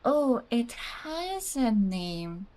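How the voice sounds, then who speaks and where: A woman's voice: on the high side, very animated, extremely slow, a little mellow. A young woman whose first language is Russian, chatting casually while streaming a game, rambling with many "like"s, reading out chat messages and laughing often.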